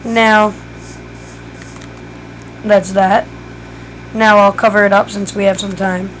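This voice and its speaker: A woman's voice: mid-range voice, little pitch movement, slow, a little hiss or hum, poor audio. A woman who is losing her voice gives a casual step-by-step game tutorial, thinking aloud as she builds. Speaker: gaming tutorial creator.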